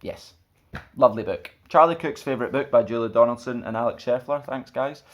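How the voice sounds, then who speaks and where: A man reading a children's picture book aloud as a storyteller, in an expressive, theatrical way. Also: mid-range voice, brisk, dull audio. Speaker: storyteller and channel host.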